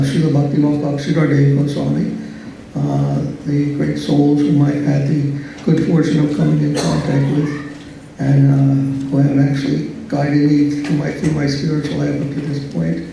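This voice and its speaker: Soft-spoken man lecturing in echoey hall. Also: fairly high pitch, flat delivery, normal speed, gravelly, slight background noise. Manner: hesitant, many fillers and restarts. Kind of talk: academic philosophy lecture. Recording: echoey hall, speaker far from mic.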